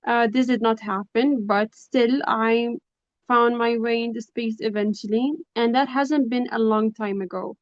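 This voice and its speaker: A young woman introduces herself as a webinar guest. Her pitch is fairly high and stays very even. The room adds no echo, though the call audio is slightly dull. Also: unhurried. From Lebanon. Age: young adult. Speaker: guest speaker, project coordinator. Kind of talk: informal self-introduction at a webinar. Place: online video call. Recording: dry but dull, lo-fi video call.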